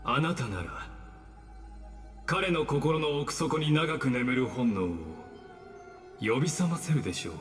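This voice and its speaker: A man's voice: a little high, animated, soft background music, lightly acted. Man acting a suave, lilting theatrical schemer. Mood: smug, theatrical. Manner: polite, theatrical, deliberate. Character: suave, knowing male schemer revealing dark secrets with theatrical courtesy. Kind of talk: anime game voice acting.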